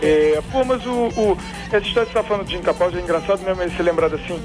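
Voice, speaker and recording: A man from Rio with a high, quick voice tells animated, joking stories as a radio guest. Music runs clearly under him. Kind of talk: casual radio chat.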